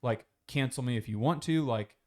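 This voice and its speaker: A man's voice: mid-range voice, moderate pace. A man co-hosting a casual podcast chat, telling a long story in a rambling way, swearing freely. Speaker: podcast co-host.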